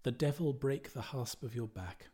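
A man's voice: medium pitch, normal speed, mellow, natural voice. A man voicing a caustic, explosive middle-aged Irish mourner in a novel, with an exclamatory, mordant manner. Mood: dry.

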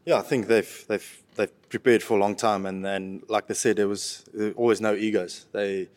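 A South African man giving casual interview answers in a halting, understated way, restarting his phrases. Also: lowish voice, steady tone, darkish tone. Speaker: professional rugby player, podcast guest.

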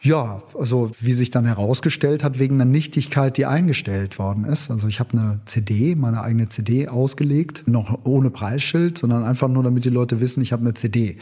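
A man in his fifties, born in northern Italy and raised in Germany, telling lively stories as a musician guest in an interview. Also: medium pitch, husky. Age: 50s. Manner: lively anecdotal storyteller, quick bursts. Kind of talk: casual storytelling interview.

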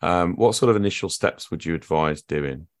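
A man's voice: low-pitched, normal speed, dry recording, studio quality. A man hosting a podcast, asking short questions and closing the show with brief, encouraging words. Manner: brief, encouraging listener backchannel. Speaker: podcast host. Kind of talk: casual interview and show outro.

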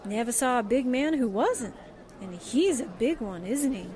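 A woman's voice: a little high, expressive, normal speed, a little mellow, a faint crowd, slight background noise, close to an everyday voice. A woman playing a brash, wide-eyed newspaperwoman sidekick, slangy and exclaiming, in 1940s radio drama style. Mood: curious, breezy.

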